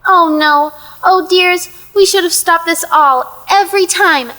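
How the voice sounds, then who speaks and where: A woman's voice: very high voice, unhurried, sharp tone, full character voice. A woman playing a fretful, world-weary ancient female spirit with a fussing, endearing manner in an audio play. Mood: fretful, despairing. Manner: fussing, calls others dear.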